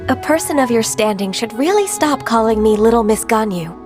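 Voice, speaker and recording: Gentle polite young secretary voiced by a woman, soft formal voice, fairly high, game music behind. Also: animated, fairly quick, lightly acted. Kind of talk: video game voice acting.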